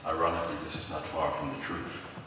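A deep, solemn mysterious mentor. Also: male voice, little pitch movement, noisy background. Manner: slow, measured, solemn speech. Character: calm grave mysterious male mentor. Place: large conference hall. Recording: film clip played over hall speakers, heard from far off.

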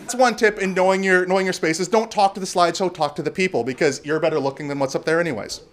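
A loud, outgoing man from Canada speaks from a stage in a bar venue, his voice pitched a little higher than most men's. He banters with the crowd, drops profanity and keeps an easy, joking energy through a talk on presenting. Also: steady tone, quick pace. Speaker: conference speaker. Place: bar venue before live audience.

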